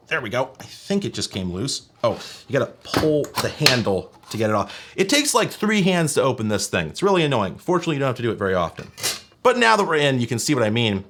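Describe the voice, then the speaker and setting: A man's voice: medium pitch, background noise. A man presenting as a video host, explaining hardware in a casual, wry and emphatic way with colloquial phrasing. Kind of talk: casual explanatory hands-on product teardown.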